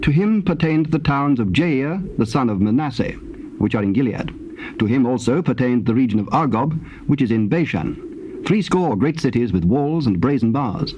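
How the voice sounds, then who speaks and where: A man's voice: medium pitch, a little mellow. A man narrating dramatized scripture in a stately, declamatory manner. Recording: faintly noisy old cassette tape.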